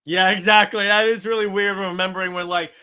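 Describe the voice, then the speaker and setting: Male co-host, high and crisp, agreeable, casual fan talk with repeated phrases for emphasis. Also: steady tone, a little fast, pushed and bright. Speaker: co-host fielding audience questions.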